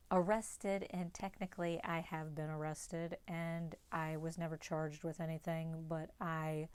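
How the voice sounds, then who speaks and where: A woman's voice: low-pitched, darkish tone, clean tone. A young woman from West Virginia with a Pittsburgh accent, candidly chatting through numbered questions in a casual tag video. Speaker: vlogger.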